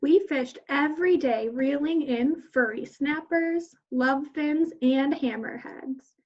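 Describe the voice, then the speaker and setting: A woman's voice: sits high, slow pace, highs cut off. A woman teacher reading a picture book aloud to children in an instructional way.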